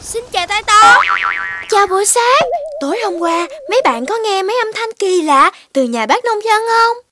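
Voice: extremely high pitch, expressive, normal speed, sharp tone, soft background music, exaggerated voice. An actor voicing an eager, curious young puppy child in a cartoon, speaking earnestly. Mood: alarmed. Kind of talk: cartoon voice acting.

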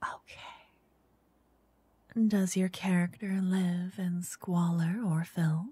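A woman doing a soft-spoken ASMR roleplay as a flirty dungeon master. She teases, then whispers close into each ear in turn. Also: lowish voice, little pitch movement, takes it slowly, breathy edge, dry recording. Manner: teasing, flirty, switching between whispers and soft speech. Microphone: close binaural mic.

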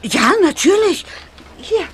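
A woman's voice: extremely high pitch, sing-song, takes it slowly, background noise, heavily acted. A woman playing a vain, touchy, earnest teenage schoolgirl in an audio drama, easily flustered. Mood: nervous.

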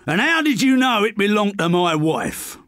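A man's voice: sits high, big pitch swings, moderate pace, fairly bright tone, no room sound, studio quality, pitched above the performer's own voice. A man putting on a blustering bully. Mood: angry. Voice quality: blustering, threatening. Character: gruff bullying middle-aged British businessman.

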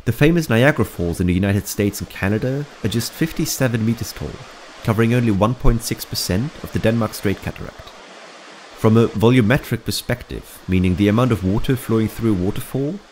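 A man reading scripted documentary-style narration for an educational explainer, in a measured, explanatory manner. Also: medium pitch, darkish tone, slight background noise. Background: background music.

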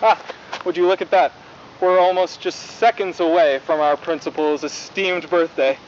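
A young man playing a cheery, faintly menacing high school news anchor in a 1990s horror broadcast, with formal, polished delivery. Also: steady tone, moderate pace, natural voice. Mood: formal, upbeat. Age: young adult. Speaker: news host. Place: outdoors in a forest at night. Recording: dull lo-fi VHS-style audio, faint noise.

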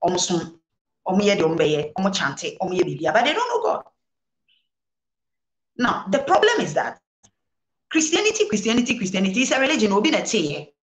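A woman's voice: medium pitch, very animated, fast talker, long pauses, reverberant, poor audio. A woman reverend with a Ghanaian accent, answering interview questions in a preaching manner, mixing English and Twi and repeating her phrases for emphasis. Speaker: reverend guest. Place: studio set, indoors.

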